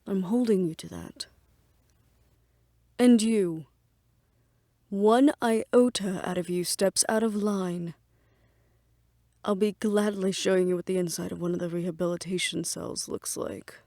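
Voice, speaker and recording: A woman's voice: on the low side, fairly even intonation, unhurried, long pauses, warm tone, no room sound, clean studio sound. A woman playing a stern, protective department head and hunter in an audio drama, firm and authoritative. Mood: firm, concerned. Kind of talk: dramatic audio roleplay acting.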